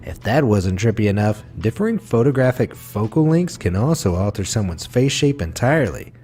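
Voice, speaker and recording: A man reading a scripted facts video as narrator, with a smooth, wry delivery. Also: lowish voice, big pitch swings, normal speed, mellow, faint music behind.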